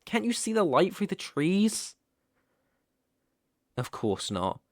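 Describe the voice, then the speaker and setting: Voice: mid-range voice, very animated, slow, no room sound. Sardonic vampire noble, close and dry performed by an actor. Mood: exasperated. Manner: teasing, sardonic, foul-mouthed, with sighs and chuckles. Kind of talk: ASMR roleplay, one-sided character dialogue.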